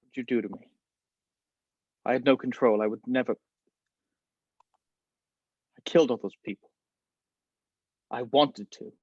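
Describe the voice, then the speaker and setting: A man acting a shaken, defiant spy in a table read, halting and understated. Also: medium pitch, steady tone, a little mellow, husky, no echo. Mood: horrified. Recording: dull, dry lo-fi call audio.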